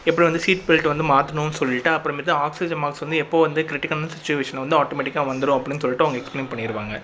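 A Tamil-accented man talks through a casual travel vlog at a rapid clip, his voice sitting a little high. He sounds bright and edgy, and his delivery is chatty and advice-giving, packed with fillers. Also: fairly even intonation, slight background noise. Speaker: travel vlogger.

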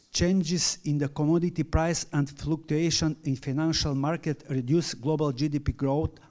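A man's voice: a little high, a little mellow. French-accented man giving a conference lecture. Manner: reads a structured lecture in halting second-language English. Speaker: panel speaker, professor. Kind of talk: academic conference talk. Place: large conference hall with audience.

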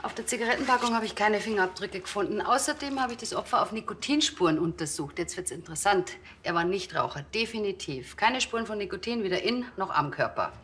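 Female actor as forensic doctor, mid-pitched, matter-of-fact, wry, TV drama, slight background noise. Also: expressive, fast talker, hardly any pauses, fairly bright tone, natural voice. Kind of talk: TV crime comedy acting.

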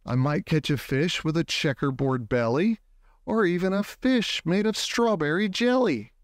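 Man, storybook read-aloud, higher-pitched, clean dry sound, expressive, deliberate phrasing. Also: no echo. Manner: deliberate phrasing, drawn-out words for emphasis. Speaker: storybook reader. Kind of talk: expressive children's picture book read-aloud.

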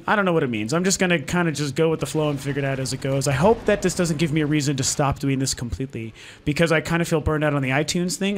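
Man, hoarse voice, higher pitch, rambling casual chat at home, lots of ums. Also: a little hiss or hum. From Denver, Colorado, US. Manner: rambling, many ums and restarts. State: losing his voice. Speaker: gaming channel host. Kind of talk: casual talk to camera audience over gameplay footage.

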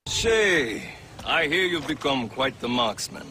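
A man's voice: medium pitch, big pitch swings, slow pace, pushed and bright, a little hiss or hum, full character voice. An actor playing a grave, formal male assassin mentor in a video game. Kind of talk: video game cutscene acting.

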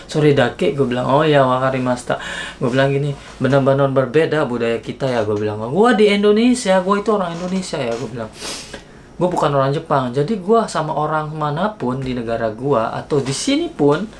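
A man in his thirties, seated in a small bedroom with a gaming setup, telling a story casually and acting out the dialogue with lively shifts in pitch, recorded on a camera mic. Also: a little fast, slight background noise. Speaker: vlogger. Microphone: camera mic, seated facing camera.

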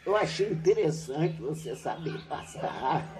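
An older woman, a retired teacher, recounting her school days in a relaxed interview on a home patio. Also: low voice, a little slow, slight background noise. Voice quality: lively, animated storytelling with laughs. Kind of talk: reminiscing interview. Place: covered outdoor patio at a home.

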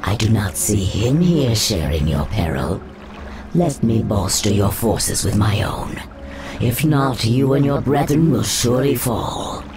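An actress playing an imperious, seductive naga sorceress in a video game, with stately, insinuating formal speech. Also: female voice, a bass voice, expressive, measured pace, over music. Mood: coolly persuasive. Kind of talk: dramatic video game cutscene acting.

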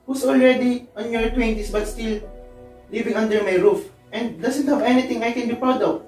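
A man's voice: extremely high pitch, steady tone, moderate pace, a little mellow. A man playing a stern, proud businessman father in an amateur melodrama, scolding and boastful. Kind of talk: amateur one-act melodrama. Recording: echoey room, distant mic, background music.

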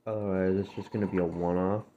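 A man hosting his gaming channel, chatting casually over gameplay with a dry, gravelly voice because he has just woken up. He rambles and corrects himself as he goes. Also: sits low, level tone, fast, dark tone. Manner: rambling, self-correcting, drifting between topics. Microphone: phone screen-recorder audio. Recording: tinny, phone-like, faint noise.

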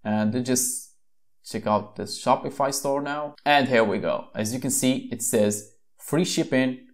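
A man's voice: medium pitch, a little slow. A young man from Morocco casually explaining an online store setup on screen, with frequent fillers and restarts, recorded at a desk in a small home room. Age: young adult. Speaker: tutorial host. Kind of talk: screen-share software tutorial.

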